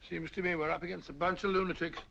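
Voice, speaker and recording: An actor playing a bluff, puzzled male companion in a 1940s film, with a gravelly, exasperated manner. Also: high voice, level tone, quick pace, bright, forceful tone, full character voice. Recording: dull old film soundtrack.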